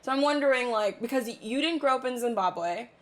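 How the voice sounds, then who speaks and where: A woman's voice: on the high side, animated, fairly quick. A young adult woman with an American accent co-hosting an interview, asking curious questions in a chatty way full of "like". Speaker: podcast co-host. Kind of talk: casual interview chat.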